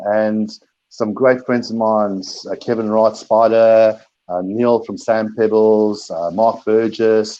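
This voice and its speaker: A man's voice: mid-range voice, dark tone, muffled recording. A middle-aged man from South Africa, a DJ and producer, answering interview questions in a rambling way, repeating words and laughing often.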